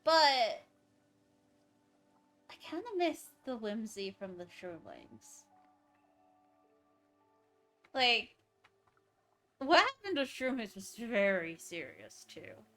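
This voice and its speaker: Higher-voiced woman musing on a game livestream. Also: sing-song, slow pace, pauses often, pushed and bright, soft background music. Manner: musing, thinking aloud. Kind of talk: casual live commentary on a game story.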